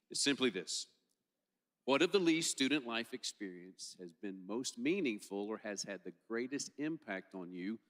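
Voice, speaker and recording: A man's voice: medium pitch, fairly bright tone. A middle-aged man emceeing a student panel on stage with a handheld mic, upbeat and joking. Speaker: host. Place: large stage hall, indoors. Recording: long hall reverb.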